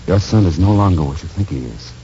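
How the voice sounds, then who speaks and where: A grave, gentle military gentleman in an old radio play. His deep, dark voice swings expressively through formal, halting, earnest speeches, on a muffled vintage recording. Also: lively intonation, vocal fry, slight background noise. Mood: earnest, fervent. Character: gentle, grave older Major explaining a miracle. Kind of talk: 1940s radio drama acting.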